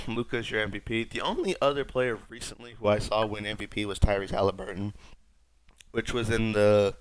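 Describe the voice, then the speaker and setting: A young man with a raspy voice, sick, rambling wryly through casual gameplay commentary as a streamer. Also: fairly low pitch, fairly bright tone, rough recording. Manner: rambling, wry, self-mocking. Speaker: gaming streamer.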